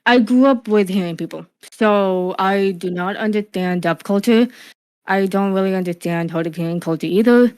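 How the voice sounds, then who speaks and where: A woman's voice: medium pitch, normal speed, a little mellow, clear, resonant tone, poor audio. A young woman streamer, Cuban and living in the USA, talking casually in an interview with a speech impediment from hearing loss, full of fillers and restarts. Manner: frequent fillers and restarts, laughs easily.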